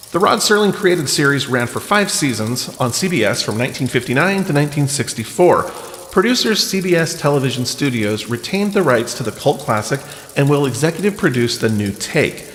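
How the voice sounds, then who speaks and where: A man's voice: medium pitch, fairly bright tone, slight background noise. A man hosting a podcast in a casual, wry, self-deprecating and informal way. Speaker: podcast host. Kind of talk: casual podcast talk with read-out news items.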